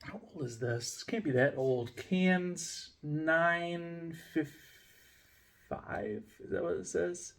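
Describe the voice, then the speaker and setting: A man's voice: on the high side, fairly even intonation, takes it slowly, creaky. Rambling, self-mocking beer-reviewing guy. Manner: rambling, self-mocking, profane, many fillers and restarts. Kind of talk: casual rambling review vlog. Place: home room, lives in Denmark.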